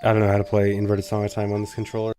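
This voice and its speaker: A man's voice: on the low side, monotone, very fast, over music. A man streaming a game live, getting over a cold and muttering to himself as he plays. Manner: mutters to himself while playing. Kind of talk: casual live-stream commentary.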